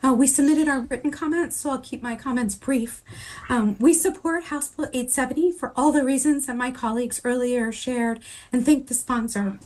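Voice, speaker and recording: Hoarse woman, fairly high voice, video call, halting testimony. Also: steady tone, a little mellow, rough recording. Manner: hesitant, many ums. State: hoarse from a cold. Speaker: advocacy director testifying. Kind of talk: remote legislative testimony.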